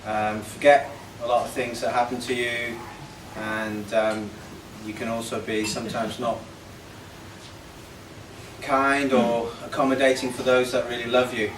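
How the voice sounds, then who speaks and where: A man in his fifties giving an impromptu, sincere thank-you speech in a halting way. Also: medium pitch, little pitch movement. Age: 50s. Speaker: birthday honoree giving a speech. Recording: echoey, noisy room, distant microphone.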